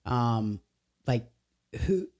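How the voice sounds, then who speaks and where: A man's voice: medium pitch, lively intonation, drawn-out words, a little mellow. Laid-back man hosting a local podcast. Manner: laid-back, says dude, restarts a lot. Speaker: podcast host. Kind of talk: casual interview chat.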